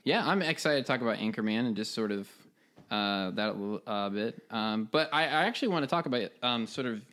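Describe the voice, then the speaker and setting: A man's voice: fairly low pitch, animated, a little fast, a bit bright. A man hosting a podcast in casual chat, with hesitant, rambling phrasing. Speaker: podcast host.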